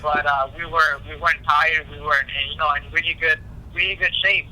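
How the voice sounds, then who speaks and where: A man speaking as a boxer in a casual phone interview, halting and repeating himself, with frequent "you know". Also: on the high side, level tone, pushed and bright. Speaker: professional boxer being interviewed. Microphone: telephone call. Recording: tinny phone line.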